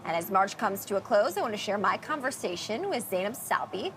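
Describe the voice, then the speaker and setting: A woman's voice: medium pitch, big pitch swings, fairly quick, fairly bright tone, slight background noise. A woman hosting a news magazine interview. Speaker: interviewer, host.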